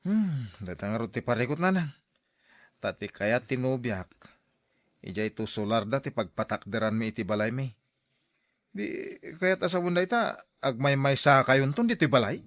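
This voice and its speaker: A man's voice: mid-range voice, animated, normal speed, fairly bright tone, no echo, clean studio sound, natural voice. An actor in a radio drama playing a dutiful adult son talking at home with his mother. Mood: mildly worried.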